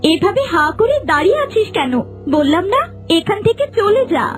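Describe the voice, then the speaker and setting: A woman's voice: very high-pitched, big pitch swings, moderate pace. A woman voicing a powerful, protective fairy in a cartoon, commanding yet tender. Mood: stern, commanding. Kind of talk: cartoon character dialogue. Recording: music behind the voice.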